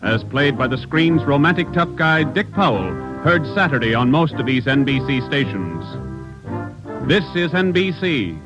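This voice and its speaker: A man's voice: fairly high pitch, big pitch swings, moderate pace, over music. An American man announcing for a radio network in a polished, formal 1940s style. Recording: muffled 1940s radio broadcast.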